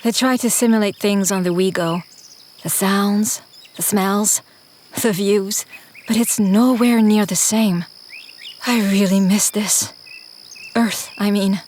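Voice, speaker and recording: An actress as a homesick, reflective woman in an audio drama. She has a mid-range pitch and a natural, wry delivery, with faint insects in the background. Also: steady tone, slow, pauses often, a little mellow, slight background noise, close to an everyday voice. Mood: wistful. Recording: faint outdoor ambience with insects.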